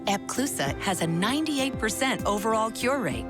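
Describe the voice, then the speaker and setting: A woman's voice: on the high side, animated, normal speed, over music. A woman reading scripted narration for a medication advert. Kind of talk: scripted drug commercial narration.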